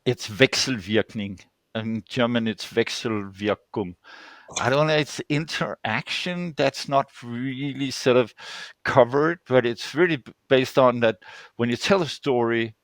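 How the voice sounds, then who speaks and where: A man's voice: mid-range voice, unhurried, no room sound. Older Danish-accented man telling stories, dry sound. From Denmark. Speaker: guest storyteller. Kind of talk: conversational personal storytelling. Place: online video call.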